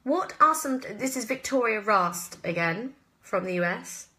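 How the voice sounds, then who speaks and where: An English woman in her thirties with a bright, forward voice, talking casually in a live Q&A. She is an actress with an expressive, chatty delivery that wanders from thought to thought. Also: a little high, unhurried. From southwest England. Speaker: actress answering listener questions.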